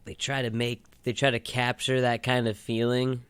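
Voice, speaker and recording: A man's voice: mid-range voice, little pitch movement, moderate pace, fairly bright tone, smooth, no room sound. A man co-hosting a radio show, chatting casually in a rambling storytelling way. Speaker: co-host of a retrospective radio show. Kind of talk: casual chat.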